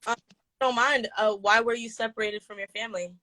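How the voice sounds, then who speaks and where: A woman's voice: on the high side, fairly quick, low-quality recording. A woman hosting a casual podcast interview over a video call, friendly and loose with ums and uhs. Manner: friendly, relaxed, fillers like um and uh. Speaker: podcast host.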